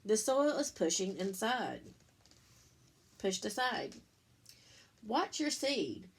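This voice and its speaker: A woman reading a picture book to children like a teacher, in simple, deliberate phrases, pausing to explain and ask questions. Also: medium pitch, sing-song, gravelly, breathy edge. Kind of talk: read-aloud storytime with explanatory asides.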